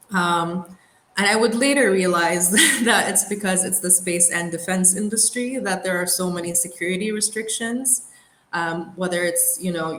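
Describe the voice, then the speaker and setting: A young woman from the Middle East, an engineer, recalls her path in a reflective, unhurried interview voice, slipping in soft laughs. Her voice sits a little low. The recording sounds roomy, with a faint hum of noise behind her. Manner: thoughtful, unhurried, lightly laughing. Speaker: aerospace engineer guest.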